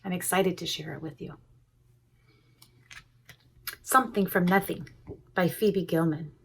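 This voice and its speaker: A woman reading a children's storybook aloud, pausing to explain things simply and expressively to young listeners. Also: lowish voice, fairly even intonation, creaky, a bit bright, a little hiss or hum. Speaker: preschool education manager reading a storybook.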